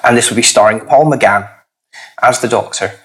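A man with a husky, slightly hoarse voice, a podcast guest chatting casually, hesitant and full of fillers. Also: normal speed, fairly bright tone, rough recording. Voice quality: husky, lower than usual. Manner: rambling, hesitant with many fillers. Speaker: podcast guest, comics critic.

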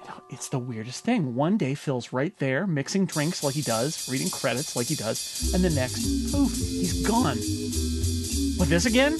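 Brief: male host reading credits, wry, high voice, music bed. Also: big pitch swings, normal speed. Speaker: show editor reading credits.

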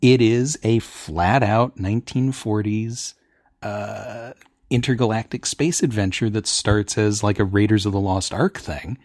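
Low-voiced, enthusiastic man in dry sound. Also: a little slow, darkish tone, no room sound. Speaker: panelist. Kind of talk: casual panel chat.

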